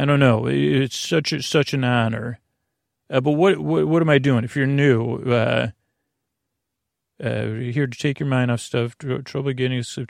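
Creaky, halting man murmuring bedtime rambles. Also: medium pitch, no room sound, clean studio sound. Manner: halting, stammering, meandering. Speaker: podcast host. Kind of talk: rambling, soothing bedtime monologue to the listener.

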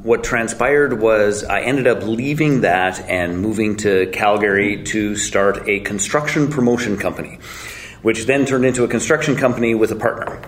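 A man's voice: on the low side, slight background noise. A man in his forties, a guest telling his story in a casual, blunt way, swearing freely and joking at his own expense. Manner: blunt, swearing, self-mocking, chuckling.

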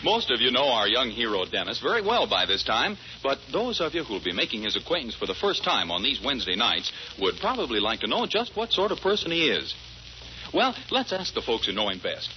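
A man's voice: mid-range voice, very animated, a little fast, a little hiss or hum. A man announcing and narrating a scripted 1940s radio comedy show. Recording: muffled 1940s radio recording, loud music.

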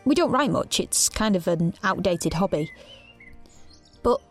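Blunt protective teen sister, wry audio drama delivery, music underneath. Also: female voice, medium pitch, animated, measured pace, husky. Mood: reflective. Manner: sardonic, blunt. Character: defiant, protective teenage sister in a dystopia. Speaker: lead actor and narrator. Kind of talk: audio drama narration and dialogue. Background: background music.

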